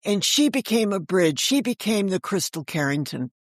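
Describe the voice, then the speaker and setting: A woman's voice: medium pitch, very animated, normal speed, dry recording, clean studio sound. An older woman talking as an interview guest, telling stories conversationally and correcting herself as she explains. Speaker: guest, author and editor.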